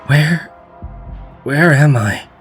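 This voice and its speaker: An actor playing an earnest, devoted young lover with a defiant streak in a fan dub drama. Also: male voice, a little high, extremely slow. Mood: bewildered. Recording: music behind the voice.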